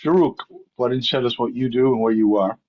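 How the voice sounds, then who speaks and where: A man's voice: medium pitch, quick pace, dull audio. A man leading an online course session over a video call, talking with hesitant fillers. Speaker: course instructor. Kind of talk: leading an online class discussion.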